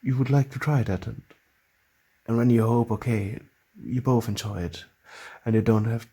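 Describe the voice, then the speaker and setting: A man with a German accent, a native German speaker, hosting his own channel in a casual talk to camera and often pausing and restarting as he searches for words. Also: medium pitch, steady tone, warm tone, husky. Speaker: channel host.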